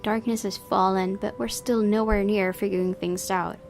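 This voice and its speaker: A woman with a medium-pitched voice reads a horror visual novel aloud and adds her own commentary. Faint music plays behind her. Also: steady tone, moderate pace, gravelly, a little mellow. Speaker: gaming video host.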